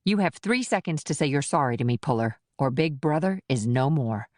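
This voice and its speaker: A man's voice: a little high, expressive, a little fast, no echo, studio quality, natural voice. A man voicing a menacing, taunting female agent in an audiobook thriller. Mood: threatening. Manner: taunting, threatening. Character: cold menacing woman agent with gun. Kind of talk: audiobook narration.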